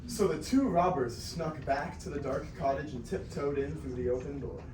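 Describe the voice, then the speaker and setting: A man's voice: a little high, lively intonation, normal speed, natural voice. A teenage boy reading as storybook narrator in a student reader's theater performance. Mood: narrating. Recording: distant mic, echoey noisy classroom.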